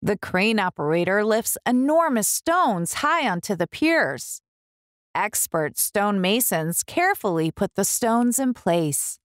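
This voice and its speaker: A woman reading a picture book aloud for young children, expressively, with deliberate, clear enunciation. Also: mid-range voice, very animated, no room sound, studio quality. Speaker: children's book reader, host.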